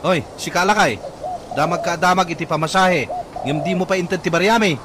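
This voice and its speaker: Man acting a vain, blustering high-voiced young hothead. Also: a little fast, lightly acted. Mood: angry, challenging. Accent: Ilocano. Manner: blustering, confrontational. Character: vain, hot-tempered young boyfriend, stingy and boastful. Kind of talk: Ilocano radio comedy drama acting. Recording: very noisy audio with bird sounds.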